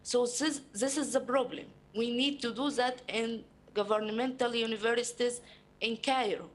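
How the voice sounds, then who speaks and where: A young woman with an Egyptian accent and Arabic as her first language, speaking earnestly in halting English to an audience. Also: on the high side. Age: young adult. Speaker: panel speaker. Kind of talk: informal talk to an audience.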